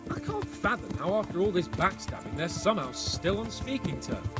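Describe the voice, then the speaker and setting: Cocky young nobleman, higher-pitched voice. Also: very animated, normal speed, loud music behind the voice. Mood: wry, candid. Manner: flippant, wry, opinionated. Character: cocky, worldly young nobleman in medieval Bohemia. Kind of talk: dramatic video game dialogue.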